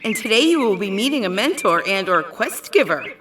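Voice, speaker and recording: A woman's voice: medium pitch, very animated, a little fast, far from the mic, background noise, close to an everyday voice. A woman voicing an old crone, one of three prophesying witches in a comedic fantasy audio drama. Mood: portentous, mischievous. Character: cackling old crone, prophesying weird sister of fantasy satire.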